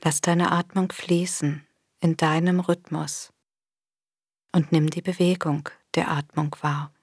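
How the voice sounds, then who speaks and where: A woman leads a guided body scan in a soft, breathy voice. Her delivery is very slow, gentle and soothing, with a slightly low pitch. The recording is close and dry. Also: level tone, no room sound. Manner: gentle, soothing, unhurried instruction with long pauses. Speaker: meditation guide. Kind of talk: guided body scan meditation, scripted narration.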